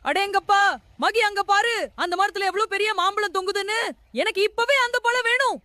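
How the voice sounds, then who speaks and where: Lady doing a hyper lil bunny boy, really high sharp voice, headstrong, kids cartoon. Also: fairly quick, dry recording, soft background music. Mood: excited, greedy. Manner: excitable, exclaiming. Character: excitable, headstrong little male rabbit. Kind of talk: children's animated moral story dialogue.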